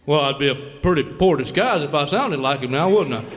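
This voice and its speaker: A man's voice: fairly high pitch, lively intonation, a little fast, fairly bright tone. A man playing a folksy, evasive impostor posing as a singing star in live radio comedy. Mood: earnest. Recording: noisy hall with live audience.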